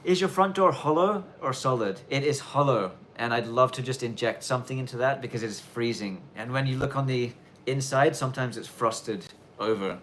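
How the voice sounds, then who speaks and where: Relaxed man with a mixed Australian-London accent. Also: medium pitch, slight background noise. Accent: mixed Australian and London, with some Irish twang. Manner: rambling, self-correcting, deliberately clear delivery. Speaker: livestream host answering viewer questions. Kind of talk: casual live Q&A chat.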